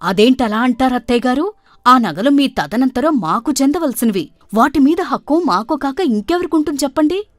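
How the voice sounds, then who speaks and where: A woman's voice: high voice, lively intonation, normal speed, no echo, studio quality, pitched above the performer's own voice. A woman voicing a scheming, grasping young daughter-in-law in a storybook tale, with an emphatic manner. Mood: indignant, bickering.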